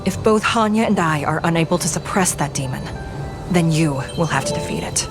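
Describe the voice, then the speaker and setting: A woman's voice: low voice, animated, over music. A woman voicing a composed, authoritative senior judge in a video game, formal and steadily encouraging. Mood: grave, composed. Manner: formal, measured, stern yet reassuring.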